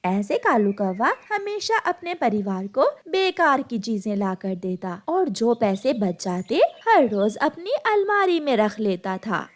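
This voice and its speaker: High-pitched woman narrating a children's story. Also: sing-song, slow pace, hardly any pauses. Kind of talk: scripted cartoon story narration.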